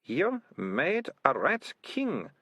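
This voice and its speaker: Man voicing an earnest young girl, high-pitched. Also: wildly swooping pitch, drawn-out words, bright, forceful tone, slightly rough, no echo, lo-fi sound, full character voice. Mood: knowing, stern. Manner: pondering, matter-of-fact.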